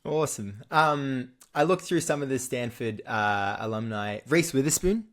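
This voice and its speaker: A man's voice: mid-range voice, normal speed. Chatty young Australian man hosting. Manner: relaxed, chatty, with frequent fillers and restarts. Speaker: podcast host. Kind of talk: casual sports interview.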